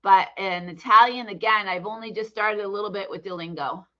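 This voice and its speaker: American woman teacher, easygoing and halting, bright voice, muffled lo-fi call sound. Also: mid-range voice. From United States. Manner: relaxed, hesitant with many fillers. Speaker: English teacher. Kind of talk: casual online conversation.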